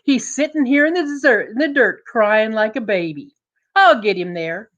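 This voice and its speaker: A woman's voice: on the high side, lively intonation, normal speed, fairly bright tone, highs cut off. A woman voicing a taunting big bully of a boy who mocks in a storytelling performance. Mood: mocking.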